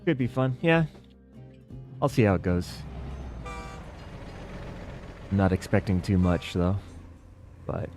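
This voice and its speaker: A man giving casual, musing commentary while playing a building game, thinking aloud with relaxed asides. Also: deep voice. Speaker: gaming video creator.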